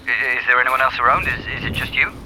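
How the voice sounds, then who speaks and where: A man's voice: mid-range voice, fast, lightly acted. An actor playing a worried man on an emergency call in an audio drama, stammering and repeating himself urgently. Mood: confused, concerned. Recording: very noisy recorded phone call.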